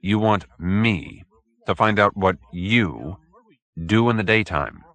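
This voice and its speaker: A man's voice: fairly low pitch, slow, a bit bright. A man voicing a businesslike, skeptical private detective in an audiobook, blunt and probing with a dry manner.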